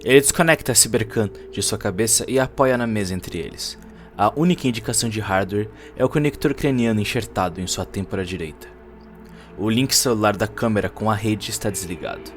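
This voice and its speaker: A man's voice: fairly low pitch, fairly quick. A man with a Brazilian accent narrating a cyberpunk short story as a scripted, dramatic audio production. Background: faint music.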